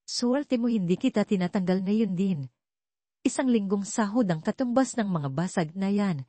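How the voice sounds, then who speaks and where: A woman's voice: medium pitch, moderate pace, dark tone, no room sound, close to an everyday voice. A woman voicing an angry, bullying male diner manager who scolds and barks harsh orders in an audio story. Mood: scolding.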